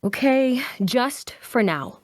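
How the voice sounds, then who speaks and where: Actress as earnest female adventurer, morally insistent, higher voice, fantasy drama, dry sound. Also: expressive, takes it slowly, dry recording. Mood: reluctant. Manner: indignant, pleading. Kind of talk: fantasy drama table read.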